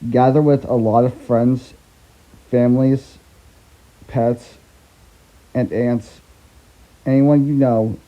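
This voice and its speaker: A man playing a grave president in an amateur emergency broadcast drama, halting and repeating his phrases. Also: steady tone, lightly acted. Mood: grave, resigned. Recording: dull, muffled low-fi broadcast audio.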